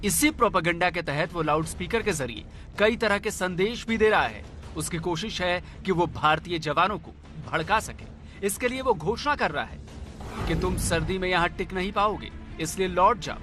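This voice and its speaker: A man delivering a scripted news report as a reporter, in an emphatic, dramatic way. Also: high voice.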